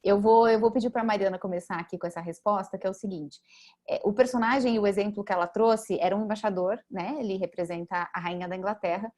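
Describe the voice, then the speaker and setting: A woman's voice: medium pitch, fairly even intonation, fast, a little mellow. A woman moderating a webinar, explaining a point at length and handing questions to a guest. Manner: explanatory, guiding the discussion.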